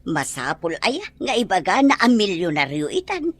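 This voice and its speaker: A woman playing a gossipy but respectful older household helper in an Ilocano audio drama, with a husky voice. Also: medium pitch, very animated, moderate pace.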